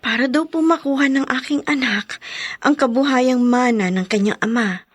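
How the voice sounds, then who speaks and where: A woman playing a respectful, sincere young single mother in an audio drama, soft-spoken and deferential. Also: high-pitched, moderate pace, slightly rough, dry recording. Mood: meek, explaining. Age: young adult. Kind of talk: melodramatic radio-style drama acting.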